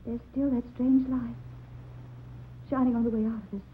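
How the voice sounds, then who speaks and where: A high-pitched, theatrical actress playing an anxious woman of old-time radio drama. She speaks in exclamations, full of dramatic feeling. The sound is narrow and tinny, with faint noise behind her. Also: level tone, normal speed, natural voice. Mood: frightened, awestruck. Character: anxious woman recounting a ghostly rescue.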